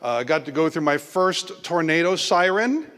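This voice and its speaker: A man's voice: a little high, expressive, normal speed, heavy background noise. Man doing stand-up in a reverberant club. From Hawaii. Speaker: stand-up comedian. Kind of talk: stand-up comedy routine. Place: comedy club stage. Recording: live club stage with long reverb and audible crowd.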